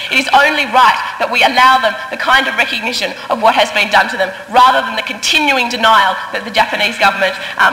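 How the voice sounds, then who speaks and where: A woman from Australia argues her case at a rapid clip, her voice bright and a little high. She speaks earnestly and persuasively, now and then restarting a phrase. The sound rings with the long echo of a large debating chamber. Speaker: competitive debater. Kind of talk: formal debate speech. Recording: echoey hall recording.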